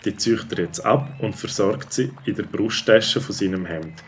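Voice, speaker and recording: A man's voice: fairly low pitch, normal speed, slight background noise. A man narrating a scripted children's story as the narrator of an audio play.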